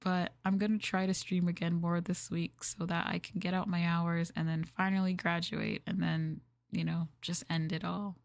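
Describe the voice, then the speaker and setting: A woman livestreamer chatting casually with viewers in a gentle, hushed, soft-spoken ASMR style, close to the microphone. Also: on the low side, little pitch movement, normal speed, vocal fry, hardly any pauses, no room sound. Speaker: VTuber livestreamer.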